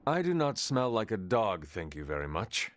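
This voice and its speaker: An actor playing a solemn, earnest resurrected demon hunter in a video game, speaking formally and with measured phrasing. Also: male voice, on the low side, sing-song. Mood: dry. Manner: formal, measured, avoids contractions. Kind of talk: video game cutscene acting.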